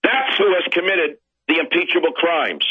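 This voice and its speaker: A man with a husky voice, ranting indignantly as a talk show guest. The sound is dry and dull, like a muffled line. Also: a little high, animated, moderate pace, no echo. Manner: indignant, ranting, climbs to loud outbursts. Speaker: guest commentator. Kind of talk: political talk show interview.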